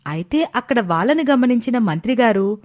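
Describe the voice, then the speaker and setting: A woman's voice: on the high side, animated, a little fast, a little mellow. A woman narrating a scripted children's moral story.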